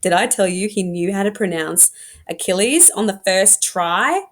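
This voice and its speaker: A woman's voice: mid-range voice, animated, normal speed, natural voice. A woman voicing a theatrical, teasing, self-assured teenage boy from a novel in a dramatic, emphatic way. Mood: smitten.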